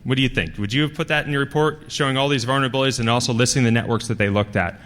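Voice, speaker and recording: A man giving an informal technical talk as a conference speaker, with a halting, rambling, conversational delivery. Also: medium pitch, fairly bright tone. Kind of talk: informal technical presentation to an audience. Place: large conference hall, speaking to a live audience. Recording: reverberant hall sound.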